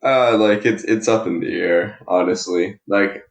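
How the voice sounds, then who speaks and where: Podcast guest: young Canadian male, low-pitched, informal and hedging, recorded off-mic in a reverberant room. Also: measured pace. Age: young adult. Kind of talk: casual interview chat. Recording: roomy echo, distant from mic.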